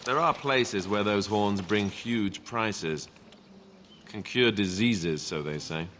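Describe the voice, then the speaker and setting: An actor playing a matter-of-fact man passing on rumours in a fantasy game, speaking slowly. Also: fairly low pitch, fairly bright tone, a little hiss or hum. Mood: knowing, matter-of-fact. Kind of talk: fantasy game dialogue acting.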